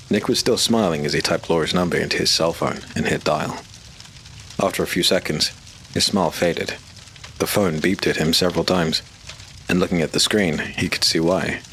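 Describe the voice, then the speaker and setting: A man narrating a dark fantasy audio drama in a measured, storytelling way. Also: low voice, a little hiss or hum, low-quality recording.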